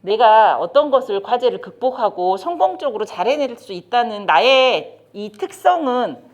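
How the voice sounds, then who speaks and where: A woman's voice: a little high, moderate pace. A middle-aged woman, giving a training lecture to caregivers and putting questions to the audience. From South Korea. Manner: lively, talks straight to the audience with questions. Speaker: lecturer on child development. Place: lecture room.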